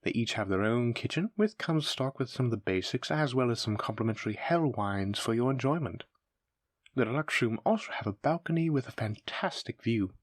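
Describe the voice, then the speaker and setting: Man playing a lively, courteous demon hotel receptionist. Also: mid-range voice, brisk, no echo, clean studio sound, natural voice. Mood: courteous, persuasive. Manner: polite, formal, effusive hospitality patter. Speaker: hotel front desk clerk. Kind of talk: scripted roleplay audio addressed to the listener.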